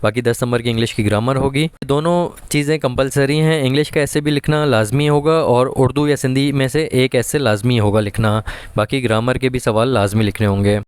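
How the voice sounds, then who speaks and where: A man with a Pakistani accent gives an informal, instructional voice-over in a clean, smooth voice. The sound is close and dry, and he works through each point methodically at a medium pitch. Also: fairly even intonation, a little fast, no room sound. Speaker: exam guidance presenter.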